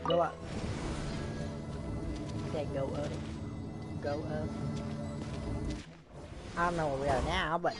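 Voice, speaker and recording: A woman's voice: sits low, fairly even intonation, slow, long pauses. A woman giving casual gameplay commentary, muttering to herself as she plays. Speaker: video game streamer. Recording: loud game music behind.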